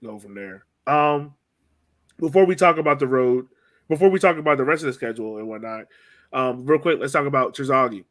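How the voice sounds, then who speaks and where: A man with a mid-pitched voice hosts an informal sports podcast. He thinks out loud, circling back to reword his questions as he steers the conversation. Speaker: podcast host steering the discussion. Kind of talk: casual sports podcast discussion.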